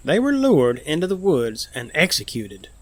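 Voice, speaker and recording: A man's voice: fairly high pitch, huge pitch swings, normal speed. A man playing a campy, self-assured television psychic medium who talks with breezy condescension in a fiction podcast. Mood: knowing, condescending. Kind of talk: fiction podcast interview acting.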